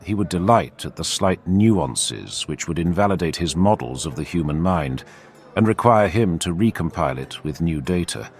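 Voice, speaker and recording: A man's voice: sits low, lively intonation, normal speed. A man reading a scripted fantasy story in a formal, theatrical storyteller's manner. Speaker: narrator. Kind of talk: scripted dramatic fantasy audiobook narration.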